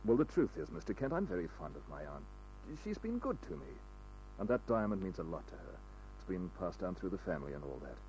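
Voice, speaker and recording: Polite aristocratic nephew type, radio drama: deep, expressive, formal and earnest, slight background noise. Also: male voice, brisk. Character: well-dressed aristocratic young man, polite and earnest. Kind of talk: 1940s-50s radio drama acting. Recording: noisy old radio recording.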